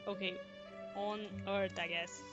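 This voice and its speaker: A woman gives casual playthrough commentary on a livestream. Her voice is average in pitch, and game music plays clearly behind her. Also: level tone, a little slow, lo-fi sound.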